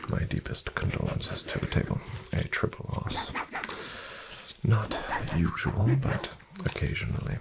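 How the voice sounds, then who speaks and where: A man playing a courteous, formal casino dealer in a soft-spoken ASMR roleplay, hushed, polite and measured. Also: heavy background noise, close to an everyday voice. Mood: apologetic, formal. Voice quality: soft, hushed, breathy-edged delivery. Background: card shuffling and clicking.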